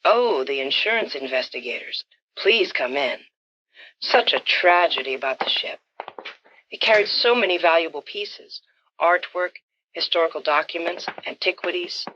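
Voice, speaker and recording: An actress playing a coy, wealthy, scheming widow, languid and theatrical, in an old-time radio detective drama. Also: female voice, fairly low pitch, lively intonation, normal speed, dull audio, slight background noise, natural voice. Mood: mournful, affected.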